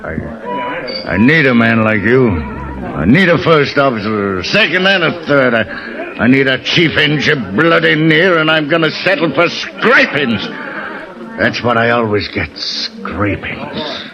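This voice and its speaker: Slurring drunken old sea captain. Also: male voice, medium pitch, very animated. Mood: grudging. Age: 60s. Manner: slurred, halting drunken talk with coughs and grumbling. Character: drunken, bitter, self-pitying old sea captain, aged sixty. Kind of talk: 1950s radio drama acting. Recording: dull old radio recording with music.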